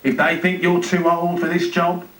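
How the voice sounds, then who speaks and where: A man's voice: high-pitched, level tone, moderate pace, a bit bright, voice pushed up. A man voicing a self-important, self-pitying zookeeper who mutters and exclaims dramatically to himself in a children's storybook. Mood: gloomy, self-pitying. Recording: roomy, distant TV speaker playback.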